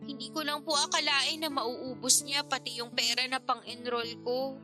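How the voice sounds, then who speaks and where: A woman plays a young niece in a radio drama, her voice high and girlish. She is hesitant, deferential and worried, pleading softly with an elder over prominent background music. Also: fairly even intonation, normal speed, lightly acted. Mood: worried, apologetic. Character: apologetic young niece, fresh senior high graduate, worried and respectful.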